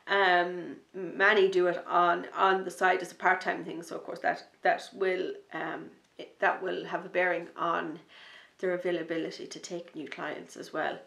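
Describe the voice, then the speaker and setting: A woman with a lowish, gravelly voice chats casually as a knitting podcast host, rambling and enthusiastic. She sounds a little sniffly from a starting cold and slips into a soft near-whisper when excited. The sound bounces around an echoey room. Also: normal speed, few pauses. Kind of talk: casual chat to camera.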